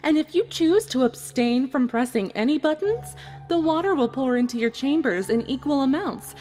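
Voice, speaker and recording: A woman's voice: high voice, lively intonation, normal speed, darkish tone, soft background music. A woman voicing a coldly polite, smug pony villain in a dramatic fan fiction reading, gloating in formal, theatrical phrases. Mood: smug menace.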